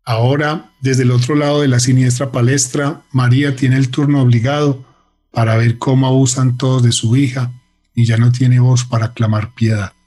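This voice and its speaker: A man's voice: medium pitch, level tone, moderate pace, mellow, husky. A man narrating a radio drama in a solemn, literary, dramatic manner.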